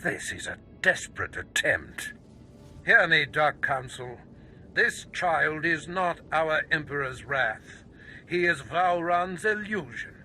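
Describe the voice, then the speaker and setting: An actor playing an arrogant, wrathful dark lord in a video game, declaiming in a pompous, theatrical, menacing way with a gravelly, forceful voice. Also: male voice, fairly high pitch, big pitch swings, takes it slowly, slight background noise, heavily acted. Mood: haughty, scornful. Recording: music under the voice.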